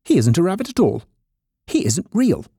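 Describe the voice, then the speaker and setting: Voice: mid-range voice, sing-song, moderate pace, gravelly, mellow, slightly rough, no room sound, studio quality, pitched above the performer's own voice, full character voice. A narrator voicing a brash, teasing young male wild rabbit in a children's storybook, blunt and challenging. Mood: scornful.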